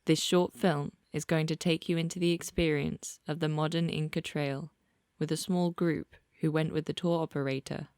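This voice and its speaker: A woman reading scripted documentary narration in a calm, even, informative way. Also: sits low, normal speed, few pauses, clear, resonant tone, no echo, studio quality. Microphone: voice-over. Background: soft music.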